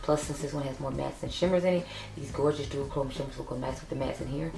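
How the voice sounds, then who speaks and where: A young woman with a raspy voice, chatting casually and upbeat as she reviews makeup for her vlog. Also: very deep voice, steady tone, fast talker. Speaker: beauty YouTuber. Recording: echoey room.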